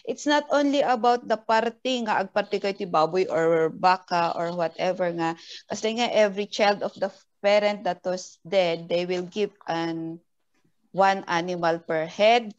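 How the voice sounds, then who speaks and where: A woman from Benguet in the Philippines talks on a video call. She speaks slowly and pauses to search for words, mixing languages. The audio comes through dull and muffled. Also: medium pitch. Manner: unhurried, searching for words, code-switching. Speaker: community member guest. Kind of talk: casual online panel talk.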